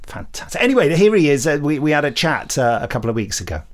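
A man's voice: a little high, sing-song, fast talker, over loud music. A man hosting a podcast with casual, enthusiastic banter and a jokey manner. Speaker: podcast host and interviewer.

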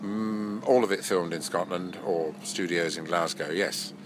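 Older male actor, very low voice, nasal from a cold, rambling anecdotes, noisy recording. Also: measured pace. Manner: rambling, self-correcting, chuckling. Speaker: actor and voice-over artist. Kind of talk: relaxed interview reminiscing.

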